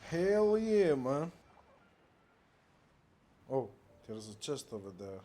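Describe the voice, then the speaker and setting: A man's voice: mid-range voice, big pitch swings, takes it slowly, dark tone, slight background noise. A man giving casual, playful commentary while playing a video game. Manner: joking, playful. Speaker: game streamer.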